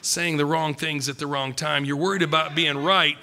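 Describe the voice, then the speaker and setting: A middle-aged man preaching at a pulpit, emphatic and joking, a little croaky from a cold. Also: a little high, steady tone, normal speed, bright, forceful tone, in a large hall. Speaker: pastor. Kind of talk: sermon. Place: church stage at a wooden pulpit. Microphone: podium mic.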